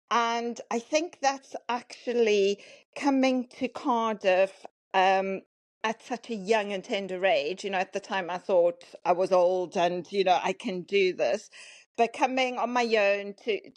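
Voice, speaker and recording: A woman's voice: mid-range voice, no room sound. A woman in her fifties from South Africa, with a South African accent carrying a Welsh twang, telling her story chattily as a podcast guest. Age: 50s. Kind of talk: casual interview chat.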